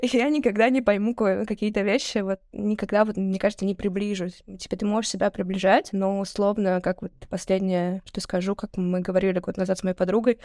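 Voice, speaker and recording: A woman's voice: mid-range voice, no echo, clean studio sound. A young woman from Russia, a podcast guest, telling stories in a casual chat and acting out the people she quotes. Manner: rapid, animated storytelling, acting out quoted people.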